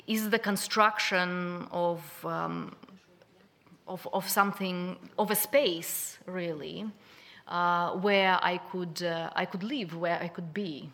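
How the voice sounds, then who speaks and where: Slow, reflective woman poet. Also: fairly low pitch, a bit bright. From Belarus. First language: Russian. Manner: slow, reflective, many ums. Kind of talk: panel discussion.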